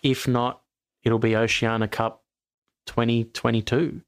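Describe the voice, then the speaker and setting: Gravelly-voiced man chatting sport. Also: medium pitch, flat delivery, measured pace, dry recording, studio quality. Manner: rambling, self-correcting, frank opinions. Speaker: podcast host. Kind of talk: casual sports chat.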